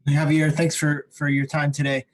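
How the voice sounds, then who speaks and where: A man working as a reporter, asking a press-conference question haltingly as he searches for words. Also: fairly high pitch, monotone, dry recording.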